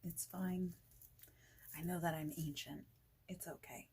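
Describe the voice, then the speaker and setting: A woman doing a soft-spoken ASMR interview roleplay, with a breathy voice that often slips into whispering and a casual, hesitant, chatty delivery. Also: lowish voice, fairly even intonation, measured pace.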